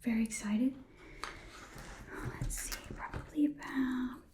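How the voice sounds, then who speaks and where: A woman voicing an eager, folksy goblin pawn shop keeper in a soft whispered ASMR roleplay, murmuring haltingly to a customer. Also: sits high, fairly even intonation, noisy background. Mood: eager, friendly. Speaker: ASMR performer playing a shopkeeper.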